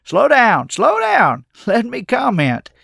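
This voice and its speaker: A man's voice: high voice, big pitch swings, normal speed, creaky, fairly bright tone, no room sound, studio quality, voice pushed up, heavily acted. A man voicing a kindly old wandering mentor with a playful, sage-like, teasing manner in an audiobook.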